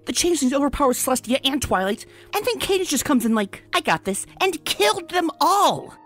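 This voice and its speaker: A woman's voice: sits high, big pitch swings, a little fast, a bit bright, pitched above the performer's own voice. A woman voicing a bubbly, earnest young female cartoon pony who gushes in a chatty way, with music behind. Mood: gushing, excited. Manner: gushing, conversational.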